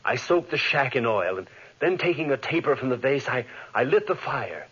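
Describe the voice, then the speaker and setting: An actor playing a tormented scientist haunted by guilt and fear, melodramatic and halting, in 1940s radio style. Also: male voice, mid-range voice, sing-song, a bit bright. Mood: grim narration. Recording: dull, husky-sounding 1940s radio recording.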